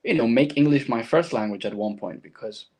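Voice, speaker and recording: A man's voice: medium pitch, lively intonation, fairly quick, darkish tone, breathy edge. A man from Argentina with a Latin American accent and Spanish as his first language, speaking as a guest and telling his personal story reflectively in conversation.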